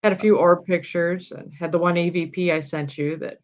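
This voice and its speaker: A woman's voice: fairly low pitch, fairly even intonation, brisk. Easygoing woman guest on a call. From Iowa, USA. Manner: easygoing, laughs often, short replies. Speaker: guest, paranormal investigator. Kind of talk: casual chat on a video call.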